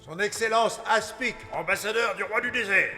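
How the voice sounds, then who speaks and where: An actor playing a formal, deferential animal herald at a royal court in a French cartoon, ceremonious and gravelly. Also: male voice, high-pitched, normal speed, over music, natural voice.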